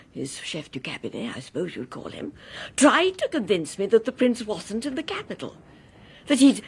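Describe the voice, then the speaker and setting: An actress playing a kindly, proper English governess in a radio drama, flustered, earnest and polite, with an English accent. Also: female voice, a little high, slightly rough, exaggerated voice.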